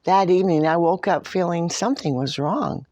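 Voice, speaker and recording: A woman reading a picture book in the first person as a smug, grumbling but soft-hearted leprechaun. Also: lowish voice, normal speed, dry recording, lightly acted. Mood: worried, betrayed. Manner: boastful, dramatic storytelling.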